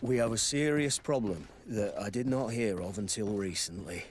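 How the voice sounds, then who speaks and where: A man's voice: lowish voice, lively intonation, moderate pace, a little hiss or hum, natural voice. An actor playing a guarded, serious townsman in a video game cutscene. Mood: formal, grave.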